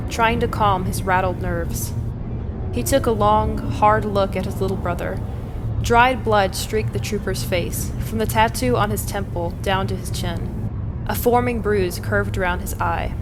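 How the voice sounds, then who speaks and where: A woman's voice: medium pitch, poor audio. A woman reading scripted, dramatic fiction narration as the storyteller. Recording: music bed under the narration. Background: music.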